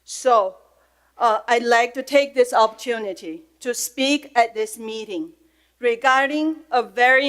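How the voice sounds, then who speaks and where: A middle-aged woman with a Chinese accent, giving an earnest, emotional prepared comment at a public school board meeting. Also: on the high side.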